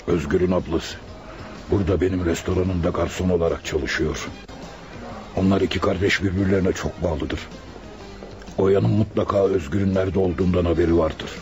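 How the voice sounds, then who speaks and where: A very deep male voice of an actor plays a scheming restaurant boss in a radio drama. He is measured and probing, wrapping menace in fatherly concern. Faint music sits behind him. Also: fairly even intonation, darkish tone, lightly acted. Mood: calm, calculating. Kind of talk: Turkish radio drama acting. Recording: radio play with music underneath.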